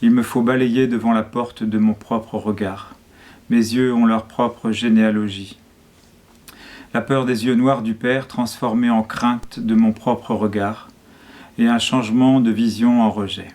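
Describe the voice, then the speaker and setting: A man reading a poem in a measured, solemn way, phrase by short phrase. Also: mid-range voice, steady tone, darkish tone. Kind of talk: poetry reading.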